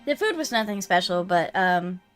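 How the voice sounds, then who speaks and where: A woman's voice: fairly low pitch, animated, moderate pace. Casual woman streamer with music behind. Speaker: streamer hosting a live game readthrough. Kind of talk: casual livestream chat.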